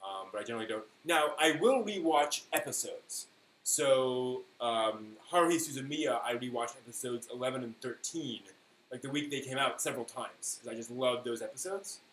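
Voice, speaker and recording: A man's voice: mid-range voice, animated, fairly bright tone. Man chatting casually in an echoey room. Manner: halting, thinking aloud, emphatic on key words. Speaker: live stream host. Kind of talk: casual rambling chat, answering viewers. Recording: echoey room with faint noise.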